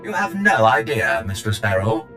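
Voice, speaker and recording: An actor playing a sarcastic, long-suffering servant droid in a video game, dry and put-upon. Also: male voice, fairly low pitch, big pitch swings, normal speed, pushed and bright, faint music behind. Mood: exasperated.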